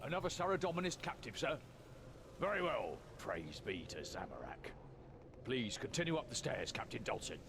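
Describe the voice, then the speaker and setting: An actor playing an officious, deferential guard of a dark knightly order in a fantasy game, speaking formally. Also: male voice, medium pitch, sing-song, normal speed, background noise. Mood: dutiful. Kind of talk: scripted fantasy game dialogue. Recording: loud background music over game dialogue.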